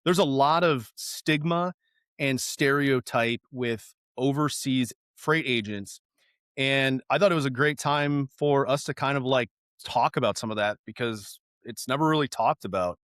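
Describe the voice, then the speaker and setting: A man's voice: medium pitch, measured pace, a bit bright, smooth, dry recording, studio quality. A man hosting a podcast, asking questions in a casual, conversational interview style. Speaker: podcast host.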